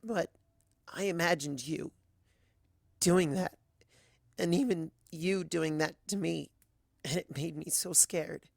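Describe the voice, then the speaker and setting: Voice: mid-range voice, takes it slowly, creaky, long pauses, dry recording, studio quality, pitched above the performer's own voice. A reader voicing a timid, earnest young female pony who speaks in a hesitant, pleading way, in a dramatic fan fiction reading. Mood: scared, upset.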